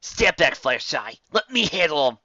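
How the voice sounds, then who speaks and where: A man's voice: on the high side, sing-song, moderate pace, pushed and bright, no room sound, muffled recording, voice pushed up, heavily acted. A man voicing a brash, impatient young female flying pony in a storybook reading.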